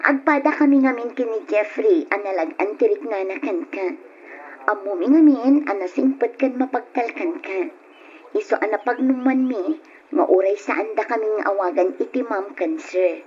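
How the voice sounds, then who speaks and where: An actress playing a friendly young woman boss in a radio drama, gentle and reassuring. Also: mid-range voice, normal speed, natural voice. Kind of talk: Ilocano radio drama acting. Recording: dull, noisy old radio drama recording.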